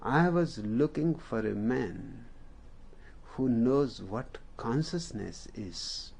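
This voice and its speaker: A spiritual teacher with an Indian accent speaks very slowly, leaving long pauses between short phrases. His voice has a gravelly, fry-laden texture. He is a native Hindi speaker telling a story in a calm discourse. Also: medium pitch, a little hiss or hum.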